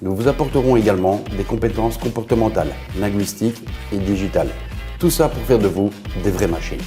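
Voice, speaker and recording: A man, a hospitality trainer reading scripted promotional narration in a measured way. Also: lowish voice, warm tone, faint music behind. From Belgium.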